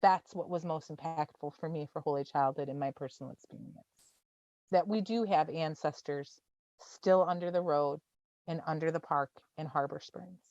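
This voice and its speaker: A forty-year-old woman presenting at an online town hall, speaking informally and gently with many ums. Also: low voice, normal speed. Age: 40s. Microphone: video call. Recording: dull, dry video-call audio.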